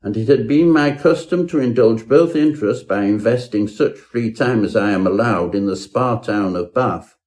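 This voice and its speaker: Meek, florid Victorian gentleman, played by a male reader, whiny and mellow, for a comic ghost story. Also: lowish voice, moderate pace, hardly any pauses, natural voice. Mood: florid, earnest. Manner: meek, whining, ornate Victorian phrasing. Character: timid, trembling male athlete-antiquarian, whiny and florid.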